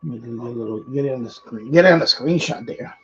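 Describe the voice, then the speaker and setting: A man's voice: medium pitch, fast, a bit bright, slightly rough. A man hosting a livestream, chatting casually and muttering to himself as he goes. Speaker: livestream host. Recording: dull, muffled livestream audio.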